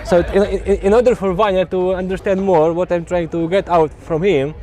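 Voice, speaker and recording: High-pitched man, halting host. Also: fairly even intonation, dark tone, a faint crowd. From Ukraine. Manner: hesitant, many fillers and restarts. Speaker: YouTube host interviewing at an event. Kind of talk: casual on-site interview. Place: outdoor event stand.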